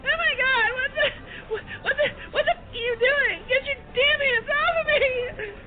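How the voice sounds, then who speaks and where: A man voicing an indignant, shrieking woman who has been caught as a pest, in a high outraged falsetto. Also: unhurried. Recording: tinny phone-like sound with music under it.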